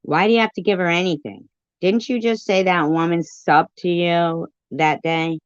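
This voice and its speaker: A woman hosting a casual live stream, commenting and reacting in a deliberate, repetitive way. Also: fairly low pitch, steady tone, clean tone, no echo. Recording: dull lo-fi live stream audio.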